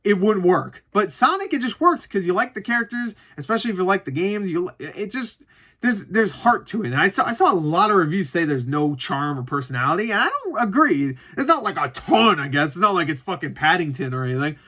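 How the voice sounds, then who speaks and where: A man with a bright, punchy voice pitched a little high talks casually as a reviewer. He rambles through his opinions without a script, doubles back to correct himself and swears freely. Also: big pitch swings, a little fast. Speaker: movie reviewer. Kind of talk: casual unscripted review chat to viewers.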